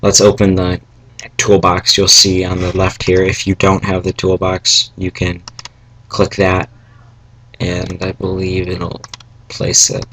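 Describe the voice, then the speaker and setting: A young man a little raspy from salty food, giving a rambling, halting coding tutorial from home at his computer, with fillers and asides. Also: low-pitched, monotone, bright, forceful tone, rough recording. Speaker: tutorial maker. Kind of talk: casual screen-recorded coding tutorial. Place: home room, family noise around. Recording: faint background noise, keyboard and mouse clicks.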